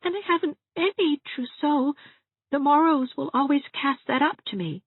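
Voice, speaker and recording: Weepy childish young bride, very high, stammery and sobbing, tinny phone-like audiobook sound. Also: female voice, moderate pace, dry recording. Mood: tearful, whiny. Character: whimpering, childish young bride-to-be, frightened and self-pitying. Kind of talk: audiobook character voice. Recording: thin phone-like narrow-band sound.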